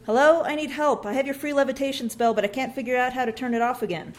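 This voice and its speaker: A woman's voice: on the high side, expressive, fairly quick, close to an everyday voice. A woman reading the lines of a frazzled, stressed young mother.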